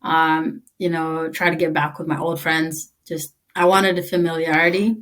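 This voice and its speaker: Female interview guest from East Africa, Tigrinya native, lower-pitched, quick and chatty. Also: little pitch movement, a bit bright. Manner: chatty, quick, lots of "like", laughs easily. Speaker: interview guest, army veteran. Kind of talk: conversational interview.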